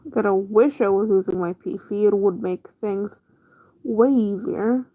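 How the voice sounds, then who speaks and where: A woman's voice: medium pitch, unhurried, dark tone. A woman chatting casually on a livestream as she works, in a laid-back way with trailing half-thoughts. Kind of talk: casual livestream chat while drawing.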